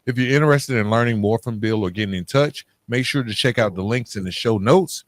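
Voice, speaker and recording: A man's voice: medium pitch, brisk, dry recording. A man hosting a podcast in a casual, slangy and encouraging way, speaking conversationally to guest and listeners. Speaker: podcast host. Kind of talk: casual conversational interview and sign-off.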